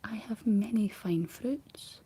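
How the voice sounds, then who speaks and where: A woman whispering the lines of a kindly farm wife, pitched a little higher and pleading. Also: slow, mellow, husky. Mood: eager.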